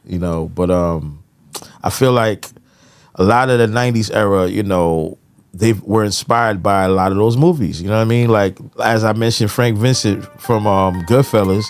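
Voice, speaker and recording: A man's voice: fairly low pitch, moderate pace, soft background music. A man, hosting a casual podcast and telling laid-back stories with lots of "you know what I'm saying". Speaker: podcast host and producer.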